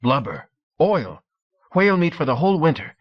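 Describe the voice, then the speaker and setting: A man's voice: mid-range voice, moderate pace, muffled recording, pitched above the performer's own voice, close to an everyday voice. A man voicing a cheerful, eager young Norse man with a thick accent. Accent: Norse-accented English. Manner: excited, eager.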